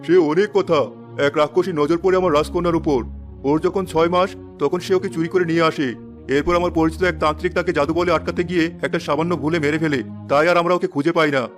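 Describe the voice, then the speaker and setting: A man voicing a high-voiced, rapid, commanding king. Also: steady tone, natural voice. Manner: commanding, earnest. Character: grieving king searching for his lost daughter. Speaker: voice actor. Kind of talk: cartoon voice acting. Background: background music.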